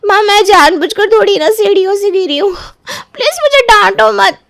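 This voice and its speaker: A woman's voice: very high-pitched, moderate pace, gravelly, a bit bright, no echo, clean studio sound, exaggerated voice. A woman playing a cheeky, whining young daughter in a cartoon story. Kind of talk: cartoon moral-story voice acting.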